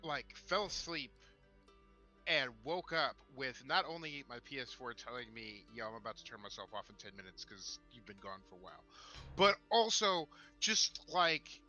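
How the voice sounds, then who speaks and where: A man co-hosting a gaming livestream, talking informally and haltingly, with the odd swear word. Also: mid-range voice, big pitch swings, sharp tone, faint music behind. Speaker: co-host of a tabletop role-playing talk stream. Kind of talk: casual livestream chat.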